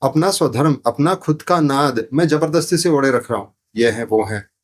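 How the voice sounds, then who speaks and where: A man's voice: medium pitch, normal speed. A man explaining spiritual ideas as a podcast guest, in a didactic way, repeating phrases and asking rhetorical questions. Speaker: podcast guest, sound therapy teacher. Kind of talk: explanatory spiritual talk in an interview.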